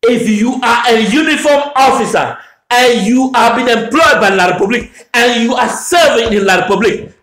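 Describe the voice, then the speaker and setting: A man from Anglophone Cameroon giving a fiery political address, shouting and repeating his demands in a declamatory way. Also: very high-pitched, moderate pace. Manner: shouting, repetitive declamatory oratory. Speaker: political activist making a public address. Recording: echoey room.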